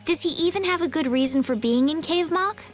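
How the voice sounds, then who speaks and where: A woman voicing a gentle, polite and earnest young woman in a video game. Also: sits high, fairly quick, close to an everyday voice. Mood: concerned curiosity. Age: young adult. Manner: polite, careful, softly earnest. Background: game music.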